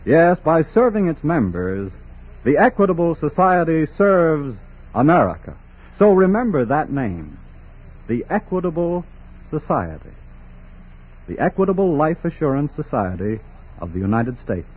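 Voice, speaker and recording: A man announcing a scripted 1940s radio sponsor message in a formal, emphatic, oratorical manner, with a husky voice. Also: fairly high pitch, big pitch swings, unhurried, darkish tone. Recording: muffled 1940s radio recording.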